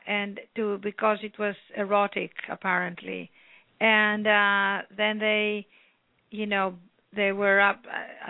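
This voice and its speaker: A woman from Greece with a Greek accent, an author talking earnestly at length in a phone interview, with many pauses. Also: mid-range voice, no echo. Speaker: author guest. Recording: tinny phone line.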